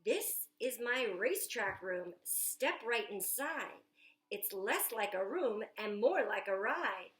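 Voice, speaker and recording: A woman's voice: on the high side, fairly bright tone, heavily acted. A woman reading a storybook's lines as an imaginative young boy who proudly shows off his dream house, in bouncy rhyming verse with stretched words. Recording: echoey room.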